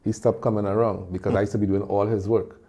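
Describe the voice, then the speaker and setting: A man with a Trinidadian accent, talking as a guest in a casual interview and telling animated stories with frequent laughter. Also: fairly low pitch, quick pace, crowd noise. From Trinidad and Tobago. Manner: animated storyteller, laughs often. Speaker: guest, music video producer and singer.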